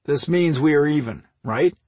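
A man's voice: on the high side, normal speed, darkish tone, no room sound, pitched above the performer's own voice, lightly acted. A man voicing an exasperated, grumbling adult younger brother in an audiobook, speaking emphatically.

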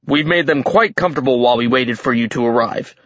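A man's voice: mid-range voice, quick pace, fairly bright tone, dry recording, dull audio, close to an everyday voice. A man voicing a commanding giant king in a fantasy audiobook, genial, showy and slyly menacing. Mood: sly politeness.

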